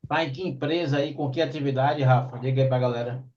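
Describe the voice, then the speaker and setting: A man's voice: medium pitch, fairly even intonation, fast, darkish tone, far from the mic, dull audio. A man in his fifties hosting a casual chat, a mentor who likes folksy sayings. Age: 50s. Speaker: host.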